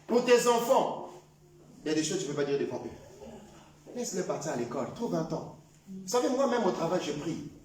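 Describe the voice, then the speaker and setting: A male pastor preaching a sermon, often shouting his points and acting out prayers. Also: high-pitched, big pitch swings, fairly quick, pauses often, slight background noise. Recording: echoey hall, voice from far off.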